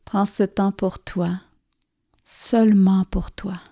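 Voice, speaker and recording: A woman guides a yoga nidra relaxation, speaking softly and soothingly with gentle pauses. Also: medium pitch, little pitch movement. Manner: soft, soothing, gently pausing. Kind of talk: guided relaxation meditation narration.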